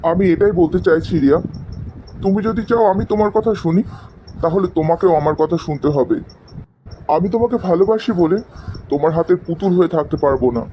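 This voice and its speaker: A man's voice: high voice, brisk, natural voice. A man voicing a hurt, defiant young man in love, in cartoon drama dialogue. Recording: slightly dull sound, faint noise.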